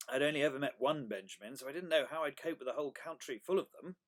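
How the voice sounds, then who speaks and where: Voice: medium pitch, quick pace, natural voice. A storyteller voicing a friendly, curious young dragon telling its own story, earnest and wide-eyed, with dramatic emphasis.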